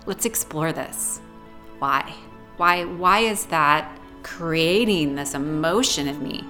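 A woman's voice: deep voice, slow, pushed and bright, faint music behind. A woman being interviewed, talking reflectively and casually about her own experience. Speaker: interviewee, language learner.

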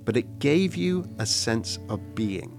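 A man hosting a radio documentary, narrating in a measured, reflective way and posing questions. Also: mid-range voice, animated, vocal fry, a little mellow, soft background music. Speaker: radio host.

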